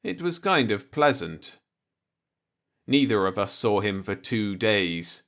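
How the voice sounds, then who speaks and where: A man's voice: lowish voice, big pitch swings, takes it slowly, fairly bright tone. Man narrating a short story. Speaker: audiobook narrator. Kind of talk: scripted narration, read-along short story.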